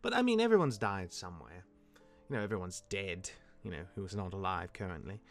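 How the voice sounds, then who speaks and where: An actor playing a cocky, jaded demigod of misfortune mentoring his younger brother in a fantasy drama, smooth-talking and wry, with dramatic turns. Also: low-pitched, big pitch swings, brisk, soft background music, full character voice. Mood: casual, boastful. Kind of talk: character acting in fantasy audio drama.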